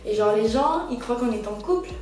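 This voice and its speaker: A woman sits for a reality show confessional, gossiping in loose, casual slang. Her voice sits a little high. She is heard from a distance in a very echoey room with music underneath. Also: lively intonation, fairly quick, a little mellow. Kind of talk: confessional to camera.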